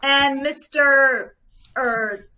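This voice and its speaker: A woman playing a polite, unhurried, probing male police captain in old-time radio drama, with a casual, mild manner. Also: high voice, sharp tone, full character voice. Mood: cool, polite. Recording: dull band, faint noise.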